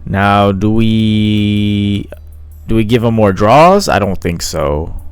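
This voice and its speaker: A man's voice: fairly low pitch, slow, clean tone, no echo, faint music behind. A man giving casual play-by-play commentary on a card game match, thinking aloud with frequent fillers. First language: not Italian.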